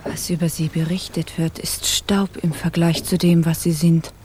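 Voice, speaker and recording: A woman's voice: lowish voice, flat delivery, normal speed, a little mellow, faint music behind, close to an everyday voice. A woman playing a deposed pirate captainess in a radio drama, formal, dignified and weary. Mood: formal, humble. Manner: formal, dignified, self-deprecating. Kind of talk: German radio drama acting.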